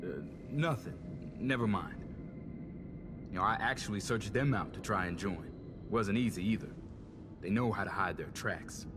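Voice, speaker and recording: A man's voice: lowish voice, normal speed, long pauses, noisy background, lightly acted. A man playing a savvy, self-interested tech operative in a video game, talking in a wry, slangy, casual way. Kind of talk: video game cutscene acting.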